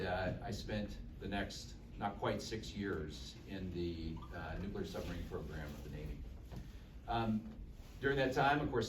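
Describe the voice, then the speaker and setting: A man in his fifties with a low voice gives a relaxed campaign talk, full of "uh"s and self-deprecating jokes. He sounds far from the mic in an echoey room, with steady noise underneath. He is from Dallas, Texas. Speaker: political candidate. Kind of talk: campaign speech to a local group.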